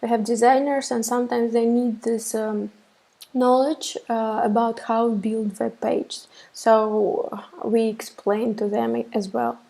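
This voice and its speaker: A woman's voice: fairly high pitch, level tone, slow pace, vocal fry, mellow. A woman in her thirties from Russia giving a live webinar on web development from a small room, speaking hesitantly with many fillers and restarts. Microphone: webcam on a laptop.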